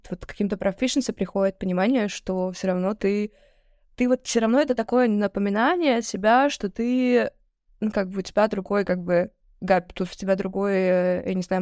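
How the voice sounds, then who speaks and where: A woman's voice: mid-range voice, dry recording, studio quality. A young woman from Russia, a podcast guest, telling stories in a casual chat and acting out the people she quotes. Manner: rapid, animated storytelling, acting out quoted people.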